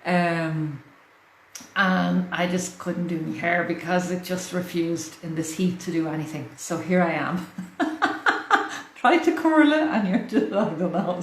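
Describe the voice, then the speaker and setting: A woman's voice: lowish voice, animated, slow pace. Chatty Irish woman, livestream host. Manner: chatty, warm-hearted, laughs often. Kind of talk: casual livestream chat. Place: hot room in a house. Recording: echoey room with faint fan noise. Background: fan running.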